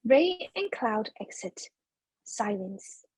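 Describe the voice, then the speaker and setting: Husky, high-voiced woman narrator. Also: sing-song, slow pace, a little mellow, no echo, poor audio. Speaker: narrator reading stage directions. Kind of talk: scripted narration.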